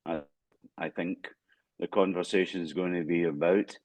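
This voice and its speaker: A Scottish man speaking as a panelist, pausing often with fillers and restarting his sentences. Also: sits low, fairly even intonation, a little mellow, no echo. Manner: halting, frequent fillers and restarts. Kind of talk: conference panel remarks.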